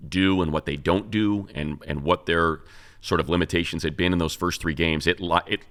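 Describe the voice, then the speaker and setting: A man's voice: low-pitched, steady tone, moderate pace, a bit bright. A man co-hosting a sports talk show, giving casual, conversational football analysis and thinking aloud as he goes.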